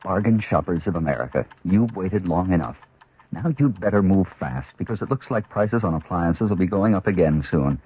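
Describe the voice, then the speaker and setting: Deep, husky male ad announcer. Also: expressive, moderate pace. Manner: urgent sales delivery. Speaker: commercial announcer. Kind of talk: 1970s radio advertising pitch. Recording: dull 1970s radio recording.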